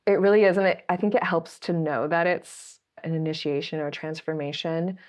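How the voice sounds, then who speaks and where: A woman in her thirties, a podcast guest, hoarse and raspy from a cold, talking candidly and laughing easily. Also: deep voice, steady tone, moderate pace, dull audio. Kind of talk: interview conversation.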